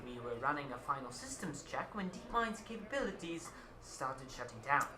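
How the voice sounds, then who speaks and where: A man's voice: mid-range voice, sing-song, moderate pace, natural voice. A man acting in a radio play as an exasperated, condescending witness to a tech disaster. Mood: shaken. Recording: echoey, clearly noisy location recording.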